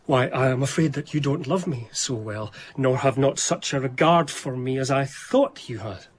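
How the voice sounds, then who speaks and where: An actor playing an eager, earnest young eighteenth-century gentleman diarist in a radio drama, speaking in a formal, confiding, literary way. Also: medium pitch, moderate pace, husky, close to an everyday voice. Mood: wounded, pressing. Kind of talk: radio drama narration and dialogue.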